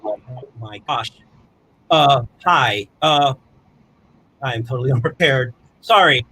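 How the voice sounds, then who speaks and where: A man's voice: medium pitch, animated, slow pace, pushed and bright, breathy edge, poor audio. A man performing casual rambling comedy in a scatterbrained, theatrical manner. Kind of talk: rambling casual comic livestream.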